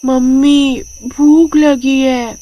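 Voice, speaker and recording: A woman's voice: high voice, steady tone, drawn-out words, dark tone, rough recording. A woman voicing an innocent, chatty little girl in a cartoon story, with a childlike manner. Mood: pleading. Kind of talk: cartoon moral story acting.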